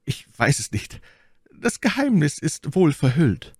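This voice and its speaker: Storybook villainess, played by a man: high, animated, vengeful noblewoman, formal and emphatic. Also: a little slow, vocal fry, slightly rough, no room sound, studio quality, full character voice. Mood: deferential, calculating. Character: scheming, vengeful noblewoman, adult, coldly deferential.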